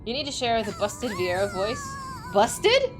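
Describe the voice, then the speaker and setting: Chatty young woman streamer, lively. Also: measured pace, over music, rough recording. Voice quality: slightly squeaky. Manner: excitable, chatty, laughing often. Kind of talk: casual chat while playing a game.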